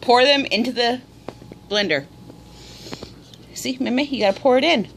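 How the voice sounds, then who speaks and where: A woman's voice: on the high side, normal speed, vocal fry, slight background noise. A woman filming a casual cooking video at home, patiently encouraging and instructing her small child step by step. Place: indoor home room at a table.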